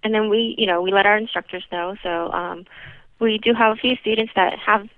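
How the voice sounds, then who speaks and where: A woman's voice: mid-range voice, fairly even intonation, fairly quick, no echo. A woman on a muffled phone line, patiently and a little hesitantly explaining classes as a receptionist. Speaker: karate school receptionist. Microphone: telephone. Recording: muffled narrow-band telephone line.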